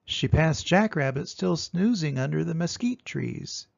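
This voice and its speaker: An older man narrates a children's storybook with dramatic emphasis. His pitch is fairly high and very animated, and he reads at an unhurried pace. The recording is dry and a little dull. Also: vocal fry, dry recording. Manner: expressive, dramatic emphasis on sound words. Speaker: storyteller reading aloud to children.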